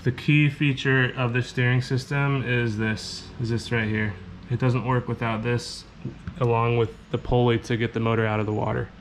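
A man's voice: medium pitch, normal speed. A man, casually walking through a DIY kayak build and explaining each step as he goes. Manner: relaxed, explanatory, repeats himself. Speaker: fishing channel host. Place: garage. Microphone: action camera on head or chest. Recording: camera mic with clear background noise.

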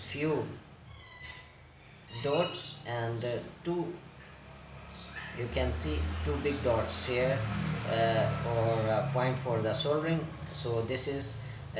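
Male repair technician, tutorial read: slow, halting non-native phrasing, echoey room with noise. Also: mid-range voice. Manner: halting, fragmented instructional delivery with non-native English phrasing. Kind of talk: step-by-step hands-on repair tutorial. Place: indoor repair workbench. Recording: roomy echo, clear background noise.

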